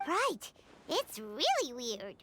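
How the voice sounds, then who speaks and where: A small, cheerful fairy companion from a video game. The voice is extremely high and squeaky, slow and wildly swooping, with a confident sing-song lilt. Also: huge pitch swings, noisy background, heavily acted. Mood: bright, confident. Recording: game music loudly behind voice.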